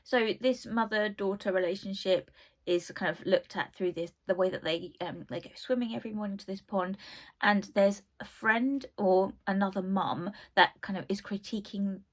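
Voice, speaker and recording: A woman's voice: medium pitch, normal speed. A young woman with a cold, hosting a book channel and chatting casually about her favourite reads, rambling with lots of asides. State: croaky from a cold. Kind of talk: casual book review chat.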